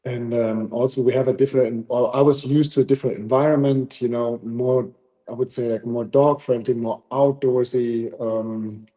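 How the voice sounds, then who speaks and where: A man from Germany with a German accent, who runs a dog training facility, talking thoughtfully with many pauses in a casual interview. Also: medium pitch, fairly even intonation, dull audio.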